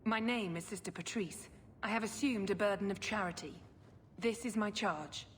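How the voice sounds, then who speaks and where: Formal, secretive sister in a reverberant hall voiced by an actress. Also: female voice, medium pitch, natural voice. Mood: solemn, insistent. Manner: formal, measured, clipped. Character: secretive, pious middle-aged sister scheming with cool formality. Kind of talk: video game voice acting. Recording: long hall reverb, clear background noise.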